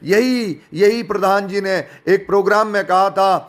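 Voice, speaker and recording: A man's voice: high-pitched, unhurried. A man giving an informal spoken rebuttal, sarcastic and indignant, mocking his opponents and firing rhetorical questions. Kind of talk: informal spoken rebuttal, addressing listeners directly.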